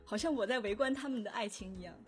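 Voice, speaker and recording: A woman's voice: fairly high pitch, fast talker, soft background music. A woman chatting casually about creative work, as a composer on an audio drama team. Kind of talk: casual chat.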